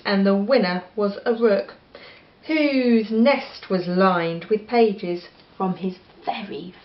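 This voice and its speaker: A woman with an English accent reading a children's story aloud in an expressive style. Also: medium pitch. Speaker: storybook reader. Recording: echoey room, slightly dull sound.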